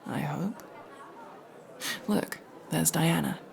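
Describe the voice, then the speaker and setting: A woman playing a masked tsundere elf mercenary in an intimate ASMR roleplay, speaking in a hushed, halting, breathy and husky voice. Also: low-pitched, a faint crowd, exaggerated voice. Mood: anxious relief.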